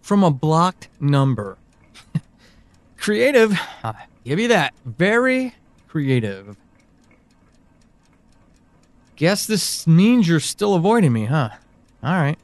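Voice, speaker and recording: Slow, halting, anguished man, played by an actor. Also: fairly high pitch, sing-song, no echo, full character voice. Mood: wry, resigned. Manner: halting, hesitant, emotional, with sighs and pauses. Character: anguished, pleading man devoted to a troubled loved one. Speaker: actor in an audio drama. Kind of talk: dramatic audio drama monologue.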